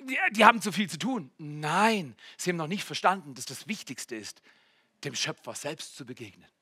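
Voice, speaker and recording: A man in his forties preaching a sermon in church, jumping from loud exclamations to hushed near-whispers and speaking straight to the congregation. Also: fairly high pitch, animated, normal speed, slightly rough, dry recording, clean studio sound. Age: 40s. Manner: addresses the congregation directly with jokes and questions. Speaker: pastor.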